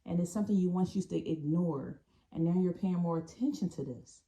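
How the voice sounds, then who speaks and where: A woman giving an intuitive tarot reading, talking in a calm, meandering way and often restarting or repeating phrases. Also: fairly low pitch, a little fast. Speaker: tarot reader.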